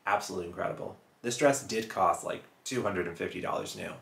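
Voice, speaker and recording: A man's voice: on the low side, gravelly, a bit bright, reverberant. A man in his thirties from Texas hosting a casual reselling vlog, chatting upbeat to the camera and reading out sales figures. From Houston, Texas. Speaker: reselling channel host. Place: small room indoors, plain wall. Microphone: fixed camera at chest-up framing.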